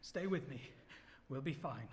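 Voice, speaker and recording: A man playing a frightened young gentleman protecting his sweetheart in a radio horror drama, urgent, stammering and melodramatic. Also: mid-range voice, lively intonation, a little slow, a little hiss or hum, lightly acted. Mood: hushed dread.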